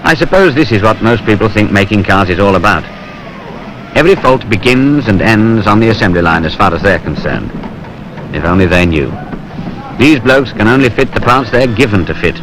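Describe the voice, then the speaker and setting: A man's voice: on the low side, lively intonation, normal speed, fairly bright tone. A British man giving wry, colloquial, conversational narration for a film. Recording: noisy 1970s industrial film soundtrack.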